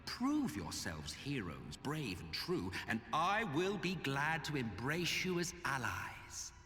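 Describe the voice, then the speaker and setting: Theatrical, sly immortal villain, swooping pitch. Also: male voice, wildly swooping pitch, slow pace, sharp tone, full character voice. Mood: disdainful, weary. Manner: pompous, sardonic, drawling delivery. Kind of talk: dramatic video game voice acting. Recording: long hall reverb, music behind.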